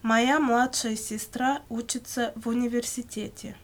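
A woman reading scripted answers for a language lesson in measured, complete sentences. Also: on the high side, steady tone. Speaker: language-lesson model speaker answering questions. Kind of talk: scripted language-lesson dialogue, read aloud.

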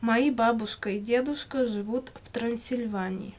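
A woman reading scripted answers for a language lesson in measured, complete sentences. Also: fairly high pitch, a little mellow. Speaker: language-lesson model speaker answering questions. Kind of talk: scripted language-lesson dialogue, read aloud.

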